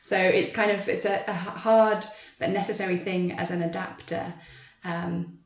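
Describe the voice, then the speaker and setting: A woman speaks in a reflective, searching way, as a writer in conversation. Her voice sits slightly low and the sound is roomy and echoey. She picks her words with care, often rephrasing as she goes. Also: steady tone, moderate pace, vocal fry. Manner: reflective, hesitant, choosing words carefully. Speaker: writer adapting an audio drama for stage. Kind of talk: thoughtful conversational interview talk.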